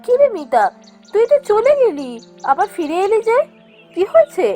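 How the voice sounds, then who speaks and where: A woman's voice: very high-pitched, big pitch swings, normal speed, mellow, faint music behind. A woman voicing a kindly, motherly mistress of a landlord's house in a cartoon fairy tale, soothing and familiar. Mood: concerned.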